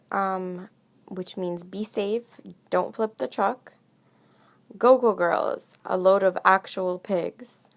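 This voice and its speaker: A woman's voice: mid-range voice, creaky. A woman reading a scripted documentary narration.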